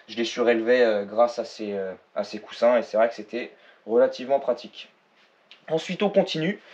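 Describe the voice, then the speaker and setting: A man's voice: medium pitch, highs cut off. A man in his thirties giving a casual, enthusiastic walk-through of his garage home gym, talking to a camera that follows him, with lots of fillers and restarts. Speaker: home gym owner presenting equipment. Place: small garage home gym with concrete block walls, indoors.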